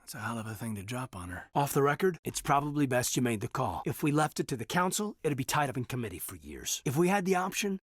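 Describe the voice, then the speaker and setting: A man playing an earnest, reserved military officer in a sci-fi video game, sincere, thoughtful and dryly wry, with a slightly husky voice. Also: mid-range voice, big pitch swings, fast talker, few pauses, no room sound, studio quality. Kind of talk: video game dialogue acting.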